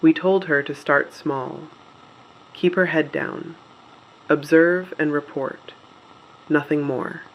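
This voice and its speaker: A performer playing a solemn resistance radio broadcaster, reading names of the missing in a grave, measured, scripted delivery. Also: medium pitch, steady tone, rough recording. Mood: solemn, grieving. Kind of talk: scripted dystopian audio drama narration.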